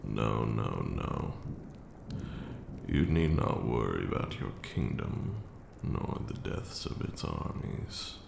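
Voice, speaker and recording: A man playing an ancient vampire lord, a stern yet caring mentor, speaking in formal, measured, archaic phrases in an ASMR roleplay. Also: very animated, full character voice. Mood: solemn, consoling. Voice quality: gravelly, very deep. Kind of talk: ASMR roleplay, slow intimate monologue. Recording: roomy echo with audible background noise.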